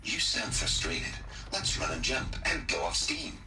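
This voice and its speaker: A low, patient caretaker robot. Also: male voice, a little slow, creaky, heavily acted. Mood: calm. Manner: calm, scripted and polite, like a programmed machine. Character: patient male caretaker robot called Father. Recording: echoey game audio over loud music.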